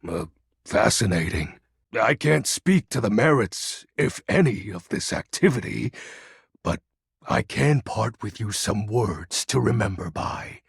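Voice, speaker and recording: A man voicing a melodramatic, pompous dark lord, darkness personified, in a video game, with a raspy, gravelly voice and grandiose archaic diction. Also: on the low side, lively intonation, a little slow, no echo, studio quality, full character voice. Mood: wistful, brooding. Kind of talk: video game character voice acting.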